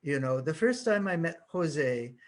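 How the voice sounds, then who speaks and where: A man's voice: fairly high pitch, expressive. Modest man in his sixties. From Canada. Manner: modest, thoughtful, measured. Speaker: translator. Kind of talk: panel discussion answers.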